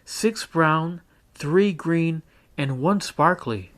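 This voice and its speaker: An eager, chirpy little brother from a storybook, as a man reads him aloud. His voice jumps high above the reader's own and bounces brightly from word to word. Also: expressive, extremely slow, heavily acted.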